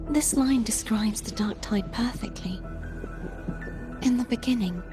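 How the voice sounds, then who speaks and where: A woman playing a solemn young former warrior in a video game, speaking in a measured, formal way with some breathiness. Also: a little high. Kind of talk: scripted video game dialogue.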